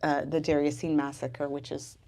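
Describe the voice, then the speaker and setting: A woman's voice: low voice, little pitch movement, normal speed, darkish tone. A woman from the United States, an author speaking expressively about her novel in a dramatic, emphatic manner. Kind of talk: expressive literary reading and book talk. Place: live bookstore event.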